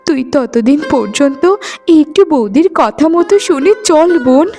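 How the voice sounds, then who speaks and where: A woman performs a kind young daughter-in-law from a cartoon family drama. Her high voice is earnest, deferential and sisterly, delivered with melodramatic feeling over faint music. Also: expressive, moderate pace, dark tone. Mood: tender, reassuring.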